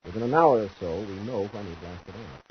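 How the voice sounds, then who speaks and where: An actor playing a firm space patrol commander who gives clipped orders in a 1950s radio adventure. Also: male voice, fairly low pitch, lively intonation, brisk, close to an everyday voice. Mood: explaining. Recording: dull, noisy 1950s radio recording.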